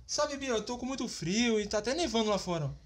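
A woman's voice: fairly high pitch, animated, fairly quick. A woman voicing a whiny, naive young boy with a Brazilian accent in a children's cartoon. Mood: complaining.

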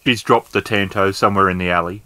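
A man's voice: deep voice, fairly even intonation, fast, pushed and bright, dry recording. A man improvising the action of a tabletop role-playing game as narration.

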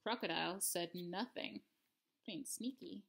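A woman's voice: lowish voice, unhurried, gravelly, fairly bright tone. A woman in her thirties reading a picture book aloud expressively for children, at home. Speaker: storybook reader. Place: living room at home.

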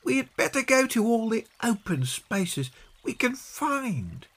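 A man's voice: very high voice, sing-song, a little slow, a bit bright, voice pushed up, exaggerated voice. A man voicing a bossy, resourceful young girl who takes the lead in a children's storybook, sounding assured and instructive. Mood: earnest, bossy.

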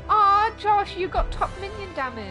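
High-voiced young woman streamer, giggly, game music under her, very bouncy pitch. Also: lively intonation, normal speed. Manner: giggly, playful, sings bits of songs. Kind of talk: casual gaming chat with a friend.

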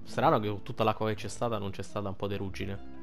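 A man's voice: fairly low pitch, fairly even intonation, quick pace, gravelly, music in the background. A man giving casual, blunt, slangy commentary as he plays a video game.

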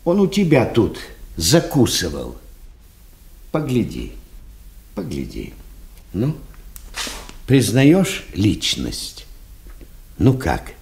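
A callous, jeering soldier in a Soviet radio play. He talks very slowly, with hugely varied pitch. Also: wildly swooping pitch, a little mellow, heavily acted. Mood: mocking, probing. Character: callous, jeering soldier questioning over a corpse. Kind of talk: Soviet radio drama acting.

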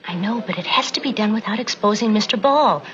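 A woman's voice: mid-range voice, a little fast, lightly acted. A young actress playing a principled, anxious frontier woman in an old TV western, speaking earnestly and insistently. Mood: urgent pleading. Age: young adult. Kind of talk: 1960s TV western acting. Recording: dull old TV soundtrack, loud music.

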